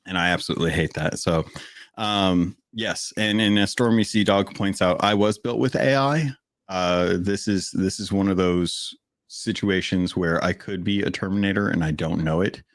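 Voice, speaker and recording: Deep voiced guy co-hosting a gaming podcast, monotone, very low, dry close mic. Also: moderate pace, no room sound. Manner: steady, monotone delivery. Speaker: podcast co-host. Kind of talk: casual gaming podcast chat.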